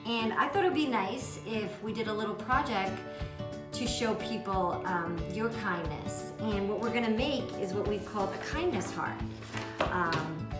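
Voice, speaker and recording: A woman presenting a children's story time, reading aloud and giving simple, encouraging explanations. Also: medium pitch. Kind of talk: children's storytime read-aloud and craft instruction.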